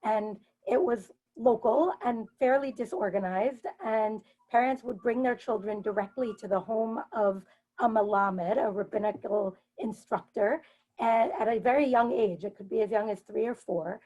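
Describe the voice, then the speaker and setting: Female professor on a panel, voice a bit higher than average, explaining carefully in a measured way. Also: dark tone, lo-fi sound. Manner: articulate, measured, explains terms as she goes. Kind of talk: explanatory academic talk.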